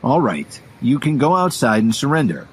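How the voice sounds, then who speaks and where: A man playing a calm, defiant young hero in an audio drama, speaking in a firm, controlled way. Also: mid-range voice, normal speed, slightly rough. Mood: cool, confident.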